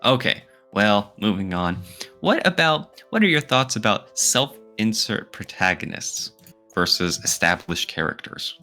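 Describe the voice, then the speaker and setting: A man's voice: on the low side, very animated, measured pace, sharp tone, soft background music. A man co-hosting a podcast in casual discussion, posing questions and giving his opinions. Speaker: podcast co-host.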